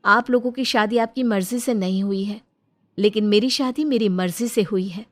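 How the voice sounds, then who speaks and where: A woman's voice: mid-range voice, normal speed, lightly acted. Cartoon story role, woman performing: principled young wife and teacher, persuasive, earnest delivery. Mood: firm, resolute. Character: principled, self-respecting young daughter-in-law and village teacher. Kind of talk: cartoon moral story dialogue.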